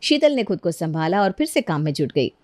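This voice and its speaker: A woman's voice: on the low side, sing-song, brisk, darkish tone, no echo. A woman narrating a scripted cartoon bedtime story as the storyteller.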